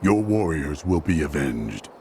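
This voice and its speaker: An actor playing a grim, stern male barbarian warrior in a video game, with a deep, gravelly voice and slow, solemn delivery. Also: sing-song, a little mellow, a little hiss or hum, heavily acted. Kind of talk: video game cutscene voice acting.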